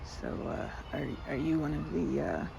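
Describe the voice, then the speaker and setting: A woman's voice: sits low, big pitch swings, measured pace, vocal fry, background noise, exaggerated voice. A woman playing a timid, sweet, rambling young woman in an ASMR roleplay, speaking to the listener with shy, hesitant stammers. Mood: nervous, friendly.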